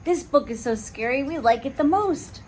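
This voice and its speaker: A woman voicing a bunch of excitable little monkey children at bedtime, squealing and giddy. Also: high voice, normal speed, slight background noise, voice pushed up. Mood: excited.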